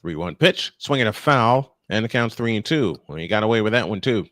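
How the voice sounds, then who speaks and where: An older man doing live baseball play-by-play with folksy, playful banter between pitches. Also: expressive, fairly quick, a bit bright, no room sound.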